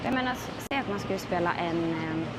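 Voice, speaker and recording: A woman from Sweden offers ideas to her teammates, trailing off and restarting as she thinks. her speech comes quickly through an on-ice mic, with loud noise around it. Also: lowish voice, animated. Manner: hesitant, suggesting options. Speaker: curler on the ice.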